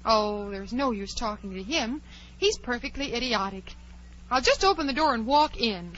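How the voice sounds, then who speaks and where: A woman's voice: a little high, expressive, moderate pace, lightly acted. An actress playing a polite, earnest and fretful little girl with proper diction, in 1940s radio drama style. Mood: exasperated. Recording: muffled, noisy old radio recording.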